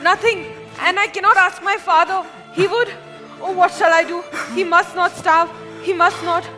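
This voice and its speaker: A woman playing a gentle, kind-hearted young woman who speaks softly and earnestly. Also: extremely high pitch, steady tone, moderate pace. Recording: long reverb with music.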